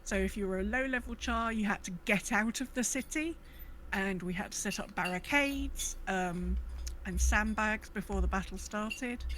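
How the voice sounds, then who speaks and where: A woman's voice: mid-range voice, a bit bright, a little hiss or hum, poor audio. A British woman co-hosting a podcast, chatting casually and informally about a video game. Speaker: podcast co-host.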